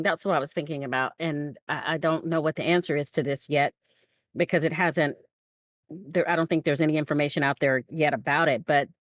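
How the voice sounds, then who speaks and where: American female podcast co-host, notably low voice, close dry sound, emphatic conversational storyteller. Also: no room sound. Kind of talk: conversational discussion.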